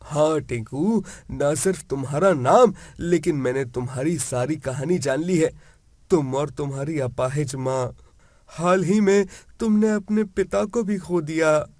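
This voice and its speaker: Man as a kindly fairy-tale prince, reassuring, earnest, unhurried, dry close sound, cartoon storybook dialogue. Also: a little high, a little mellow, no room sound, close to an everyday voice. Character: kind, reassuring magical young prince from another realm. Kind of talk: Hindi animated moral-story dialogue.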